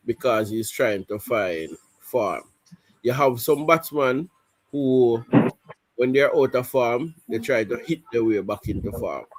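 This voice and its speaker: A man giving casual cricket analysis, halting and restarting as he talks it through. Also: mid-range voice, dry recording. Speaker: cricket pundit.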